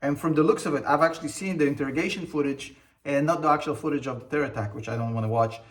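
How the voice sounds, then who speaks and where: Higher-voiced man giving halting political commentary, native Russian speaker. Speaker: commentator, show host.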